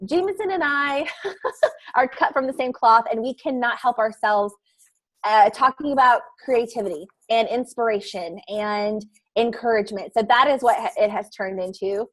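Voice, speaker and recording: A woman co-hosting a podcast, chatting excitedly in a casual panel and laughing often. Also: a little high, moderate pace, no echo. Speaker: podcast co-host.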